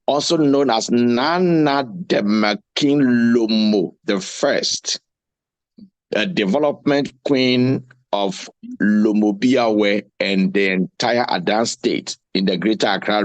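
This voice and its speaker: Low-voiced man moderating a seminar. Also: slow pace, no echo, muffled recording. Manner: formal, ceremonious introductions. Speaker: host.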